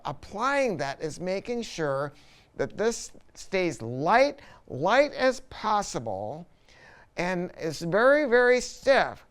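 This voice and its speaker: A man's voice: a little high, smooth. A man talking casually and enthusiastically as he presents a product review, informal and full of opinions.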